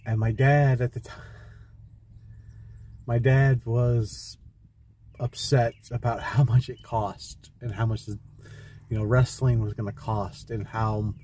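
A young man talking through a personal vlog from a parked car, slow, halting and heartfelt, with frequent restarts. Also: mid-range voice, steady tone, mellow, slight background noise. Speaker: vlogger. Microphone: phone camera close in front.

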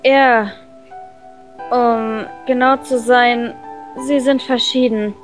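Woman playing taciturn young woman, high voice, terse, measured, visual novel. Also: fairly even intonation, soft background music, poor audio. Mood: aloof. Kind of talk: visual novel dubbing read aloud.

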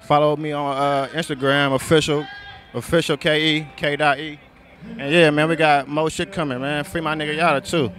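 A man's voice: a little high, level tone, normal speed, fairly bright tone. A man, a rapper guest speaking laid-back and slangy into a handheld mic outdoors. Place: outdoor festival.

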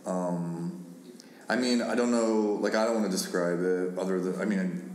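A man's voice: low voice, steady tone, moderate pace, darkish tone, roomy sound. A man hosting a casual interview, hedging and rambling with many fillers as he puts his questions. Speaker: interviewer host.

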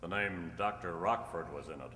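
A man playing a calm, upright masked cowboy hero with measured, formal delivery, in old-time radio style on stage. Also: deep voice. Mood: persuasive. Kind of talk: old-time radio drama acting read from script. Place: stage in a community hall. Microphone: stand microphone. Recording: echoey hall, humming background noise.

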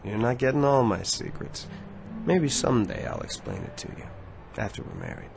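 A smug gravelly suitor. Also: male voice, mid-range voice, animated, moderate pace. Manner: confident, smug and insistent. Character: smooth-talking self-made man, menacing suitor. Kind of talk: vintage radio drama acting. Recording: old radio drama with faint music.

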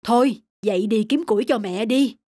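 A woman voicing a poor, hardworking elderly village mother who nags and scolds in an animated folk tale. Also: on the high side, normal speed, no room sound, clean studio sound, lightly acted. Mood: scolding. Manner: nagging, scolding. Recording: background music under the voice.

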